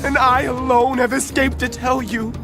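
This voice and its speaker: A woman's voice: sits high, level tone, moderate pace, over music, close to an everyday voice. A woman playing a grave servant messenger bearing tragic news, sombre and shaken, in a scripture drama.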